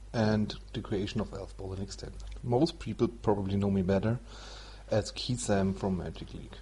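A man from Germany with a German accent, hosting a solo podcast in an explanatory, somewhat halting non-native way. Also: on the low side, mellow, husky. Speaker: podcast host. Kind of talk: explanatory solo podcast talk about card game strategy.

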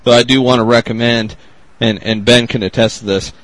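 A man's voice: medium pitch, fairly even intonation, brisk, a bit bright, slight background noise, low-quality recording. A man from the US Northwest co-hosting a podcast in a casual, laid-back way, laughing often. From Spokane area, US Northwest. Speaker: podcast co-host.

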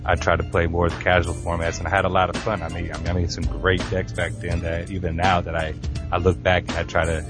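A man guests on a casual podcast, chatting in an easygoing, rambling way. His voice is very deep and stays flat in pitch. Music is clearly audible behind him. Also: fast.

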